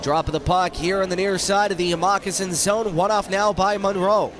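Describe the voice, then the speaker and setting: Nasal, high-voiced male hockey announcer. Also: steady tone, noisy background. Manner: rapid running commentary, rising when shots come. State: nasal from a head cold. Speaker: play-by-play announcer. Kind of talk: hockey play-by-play commentary.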